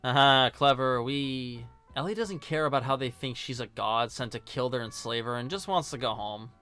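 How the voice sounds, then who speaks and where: A man's voice: mid-range voice, moderate pace, pushed and bright, smooth. A man narrating a casual, opinionated film review as host, blunt and sarcastic, swearing now and then. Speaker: host, video essayist. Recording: music behind the voice. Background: music.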